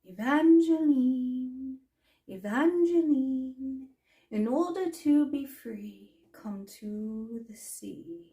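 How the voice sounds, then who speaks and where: Woman playing a slow, imperious magical storyteller woman. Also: sits high, expressive, dark tone, heavily acted. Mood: mysterious. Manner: theatrical, haughty, deliberate dramatic pauses. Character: imperious, mischievous older female magical storyteller in a fantasy world. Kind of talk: in-character fantasy storytelling roleplay.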